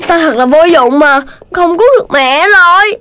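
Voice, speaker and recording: A woman voicing an earnest little puppy in a children's cartoon, childlike and expressive, with a southern Vietnamese accent. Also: very high voice, moderate pace, no echo, clean studio sound, full character voice. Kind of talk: animated children's cartoon dub. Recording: music under the voice.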